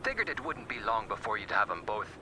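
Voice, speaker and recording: A man's voice: fairly low pitch, big pitch swings, quick pace, noisy background. A man playing an encouraging, folksy teammate in a video game, with a hesitant, chatty manner.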